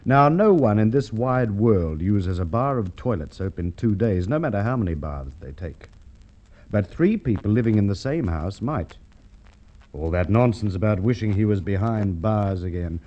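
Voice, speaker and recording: Shrewd, mellow Australian police inspector. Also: male voice, on the low side. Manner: deliberate, musing, courteous. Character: shrewd, measured male police inspector in a crime drama. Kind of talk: golden age radio drama acting. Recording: dull vintage radio drama recording.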